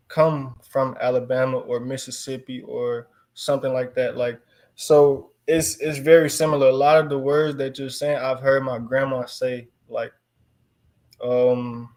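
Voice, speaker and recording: A relaxed young man with a Chicago accent chats through an interview, trailing off and restarting his thoughts. His voice sits at an ordinary middle pitch for a man. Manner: relaxed, filler-heavy, chuckles often. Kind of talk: casual interview.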